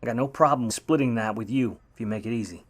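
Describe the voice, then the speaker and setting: A man's voice: mid-range voice, fast talker, lightly acted. A man playing a wry 1950s private eye who talks and narrates in slangy old radio noir style. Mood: coaxing, cool. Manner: wry, slangy, hard-boiled. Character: grudging wisecracking 1950s male private eye, hard-boiled narrator. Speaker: lead actor and narrator in a radio play.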